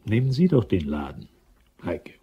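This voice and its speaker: An actor in a German crime radio drama playing a prim, fussy office boss who talks in an indignant manner. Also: male voice, fairly low pitch, very animated, normal speed, highs cut off, a little hiss or hum. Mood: grumbling.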